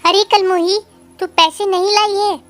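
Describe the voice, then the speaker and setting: A woman's voice: very high-pitched, steady tone, measured pace, a bit bright, soft background music. A woman voicing a harsh, greedy mother-in-law bird in a children's cartoon, scolding and imperious. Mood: angry scolding. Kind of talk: Hindi children's cartoon dialogue.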